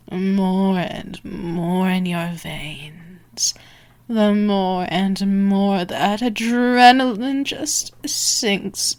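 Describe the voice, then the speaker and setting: A woman playing a dominant, predatory female vampire in an ASMR roleplay, taunting and sultry, often slipping into breathy whispers. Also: mid-range voice. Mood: hungry, sultry. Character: dominant, teasing, predatory female vampire stalking her prey.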